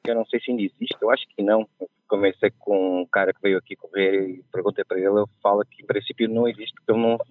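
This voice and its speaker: A man from Portugal with a Portuguese accent softened by Brazilian speech, telling stories with a laugh as a podcast guest. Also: fairly low pitch, fairly even intonation, racing, hardly any pauses, no echo. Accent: Portuguese, softened by years in Brazil. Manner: chatty, laughs at his own mishaps. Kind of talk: casual storytelling. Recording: tinny phone line.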